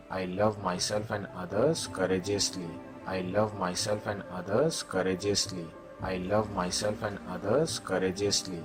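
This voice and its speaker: Deep, slow man guiding meditation. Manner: instructing, repeating set phrases. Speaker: meditation guide. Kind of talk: guided meditation affirmations. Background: music.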